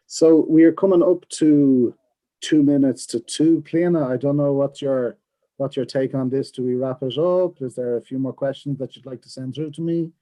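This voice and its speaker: A man's voice: mid-range voice, fairly even intonation, moderate pace, dark tone, low-quality recording. A man moderating a panel, affirming the speakers and often repeating words.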